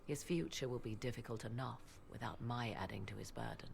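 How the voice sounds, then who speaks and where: Casting: proud sorceress, gravelly edge, archaic formal diction, fantasy game drama, faint noise behind. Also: female voice, a bass voice, exaggerated voice. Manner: formal, archaic, measured, haughty.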